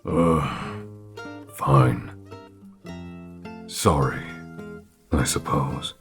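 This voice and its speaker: A woman playing a grumpy, suspicious male harvest god, husky, curt and sullen, in an audio drama. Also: extremely low pitch, drawn-out words, over music, exaggerated voice.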